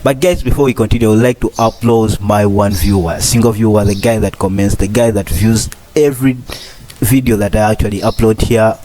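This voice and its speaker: A husky-voiced young man in his twenties, a doctor in training hosting a casual vlog, rambling and repeating phrases with many fillers. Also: on the low side, fairly even intonation, fairly quick, warm tone, a little hiss or hum. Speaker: doctor in training, channel host. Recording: clear music playing under the voice.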